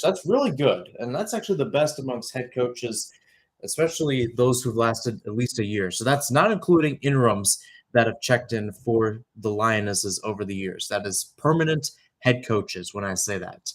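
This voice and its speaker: A young man giving live radio-style soccer play-by-play, talking conversationally and filling in background detail between calls. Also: mid-range voice. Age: young adult.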